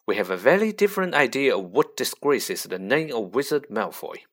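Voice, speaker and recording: A man's voice: mid-range voice, very animated, moderate pace. Figure: a man doing a middle-aged father, harried. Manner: flustered, then cold. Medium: audiobook.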